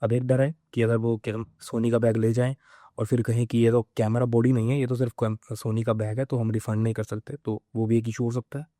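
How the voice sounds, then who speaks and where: Mellow, even-toned young man. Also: medium pitch, brisk, no echo, studio quality. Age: 20s. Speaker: vlogger. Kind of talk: casual vlog talk mixing Hindi and English.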